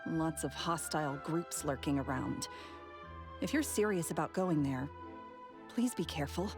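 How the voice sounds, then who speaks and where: A woman's voice: low voice, animated, moderate pace. An actress playing a guarded, bitter woman in a video game, speaking emphatically. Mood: cautioning. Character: wary, bitter woman haunted by an old death. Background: game music.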